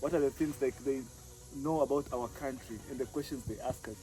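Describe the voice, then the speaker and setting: A man's voice: mid-range voice, fairly even intonation, fairly quick, dark tone, faint music behind, low-quality recording. A man from Tanzania hosting a casual vlog outdoors by a lake, laid-back and laughing often, with lots of "like" and "man". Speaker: vlog host. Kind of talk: casual chat.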